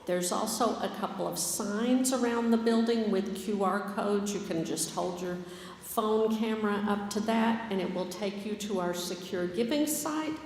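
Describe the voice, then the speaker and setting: Woman giving clear offering instructions in hall. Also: medium pitch, normal speed, darkish tone, a little hiss or hum. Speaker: finance and operations director. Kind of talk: church announcement. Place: large church sanctuary. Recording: echoey hall with long reverb.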